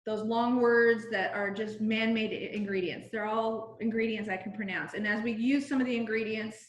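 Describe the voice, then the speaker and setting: Chatty middle-aged woman on a roomy call. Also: on the high side. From Southern California. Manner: chatty and informal, with many ums and restarts. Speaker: direct-sales cooking consultant. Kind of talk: casual product demonstration over a video call. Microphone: video call. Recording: roomy video-call audio.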